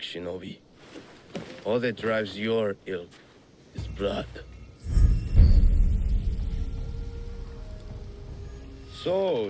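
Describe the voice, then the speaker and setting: A man playing a proud, grieving, vengeful feudal Japanese lord in a video game cutscene, measured and poetic. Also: on the low side, lightly acted. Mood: cool disdain.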